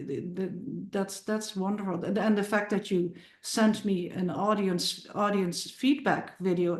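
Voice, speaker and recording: A middle-aged woman from the Netherlands with a Dutch accent, talking about her film on a podcast, full of restarts and repeated words. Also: medium pitch. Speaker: filmmaker and musician guest. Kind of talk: casual podcast interview. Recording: roomy sounding.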